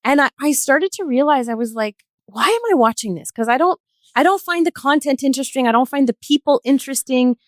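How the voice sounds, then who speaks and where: A woman's voice: high-pitched, brisk, dry recording, studio quality. A woman in a reflective podcast conversation, explaining her views in a thoughtful, earnest way. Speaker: podcast guest.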